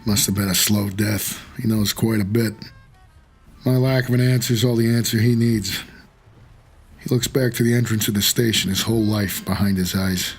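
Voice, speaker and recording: An actor playing a doomed, haunted taxi driver for the dead, narrating an audio drama in a world-weary, measured noir manner. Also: male voice, fairly low pitch, steady tone, breathy edge, soft background music. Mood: wry, observant.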